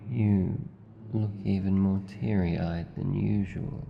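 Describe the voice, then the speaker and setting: A low, gravelly Australian male demon played by a man speaks unhurriedly in an intimate ASMR roleplay, swathed in long reverb. He is a menacing night creature with an awkwardly tender streak. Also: steady tone, slow, slight background noise, full character voice. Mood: taunting. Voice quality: deep, gravelly. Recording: slightly dull, long reverb.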